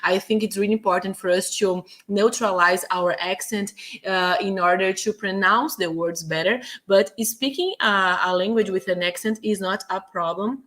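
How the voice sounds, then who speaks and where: A woman's voice: medium pitch, normal speed, a bit bright. A woman from Brazil with a Brazilian Portuguese accent, a native Portuguese speaker, teaching English in a chatty, casual way with many fillers and restarts. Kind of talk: casual online lesson. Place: home in Quebec.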